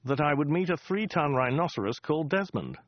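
A man's voice: fairly high pitch, very animated, a little fast, dry recording, lo-fi sound, voice pushed up. A man voicing a dry, polite and slightly nervous Englishman. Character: wry, nervous Englishman abroad. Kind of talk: audiobook character voice.

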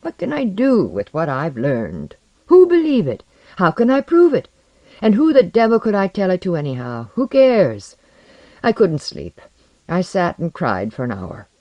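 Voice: mid-range voice, big pitch swings, unhurried, dry recording, dull audio. A narrator voicing an earnest, anxious, modest modern man with a hesitant, plain-spoken and emotional manner. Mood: distraught, relieved.

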